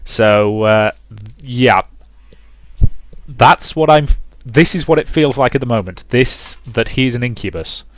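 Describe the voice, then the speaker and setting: A man's voice: medium pitch, lively intonation, unhurried. A man hosting a let's play, moving between dramatic visual novel narration and casual commentary, close on the mic.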